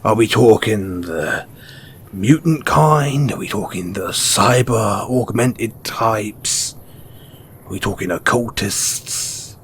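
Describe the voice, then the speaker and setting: A man playing a shrewd, mysterious post-apocalyptic merchant in an audio roleplay, speaking in an insinuating, theatrical way with drawn-out pauses. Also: fairly low pitch, a little hiss or hum. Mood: intrigued, probing. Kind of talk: audio roleplay character acting addressed to the listener.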